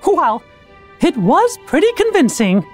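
A man voicing a small, polite, plucky cartoon mouse king in a video game, in an earnest falsetto. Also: huge pitch swings, a little slow, soft background music, full character voice. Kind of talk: animated video game voice acting.

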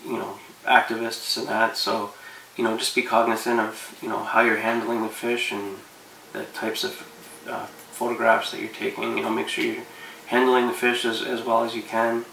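A man in his thirties giving relaxed, rambling fishing advice to camera from his living room couch, with lots of fillers. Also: lowish voice, moderate pace, gravelly, hardly any pauses, reverberant, distant mic, a little hiss or hum, rough recording. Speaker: fishing vlogger.

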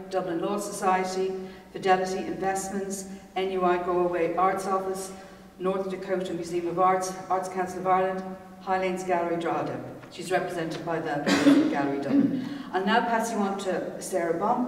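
A woman's voice: lowish voice, slight background noise. Formal woman reading notes in an echoey gallery. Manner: formal, reads long lists of names and titles. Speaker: gallery host. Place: gallery room, standing. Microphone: far camera mic. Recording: echoey gallery room from far off.

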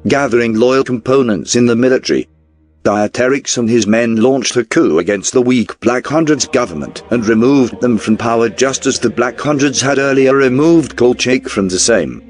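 A man reading scripted documentary narration in a formal, measured newsreel style. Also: medium pitch. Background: background music.

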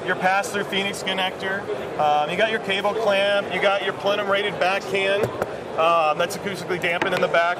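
A man's voice: high voice, steady tone, moderate pace. A middle-aged American man casually explaining a product in an interview, as a company executive. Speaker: company president presenting a product. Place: busy exhibition hall booth, indoors. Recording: loud trade show crowd behind.

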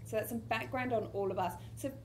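Woman running a panel, brisk, laughs a lot, noisy hall. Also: fairly high pitch. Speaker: panel moderator. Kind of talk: moderating a panel. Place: lecture hall stage before an audience.

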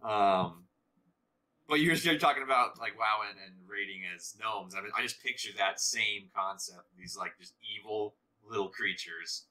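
A man co-hosting a gaming channel, chatting casually while he plays, with a slow, halting delivery full of restarts. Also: fairly low pitch, sharp tone, low-quality recording.

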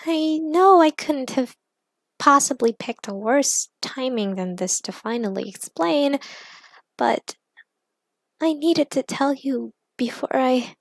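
A woman voicing a young superheroine in an audiobook, confessing to her lost love in a halting, emotional, pleading way. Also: sits high, expressive, vocal fry, no room sound, full character voice. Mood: tender confession.

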